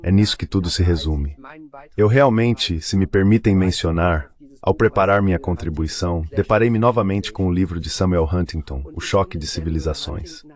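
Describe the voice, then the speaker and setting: Deep-voiced male interpreter voice-over. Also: moderate pace, a little mellow. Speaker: voice-over interpreter for a panel guest. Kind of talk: translated voice-over of a panel discussion.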